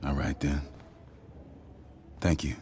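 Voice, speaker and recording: A worried, earnest middle-aged father from a video game. His voice is extremely deep, and he talks in a gentle, plain, slightly halting folksy way. Light background ambience sits behind him. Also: level tone, slight background noise, heavily acted. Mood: friendly. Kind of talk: naturalistic video game voice acting.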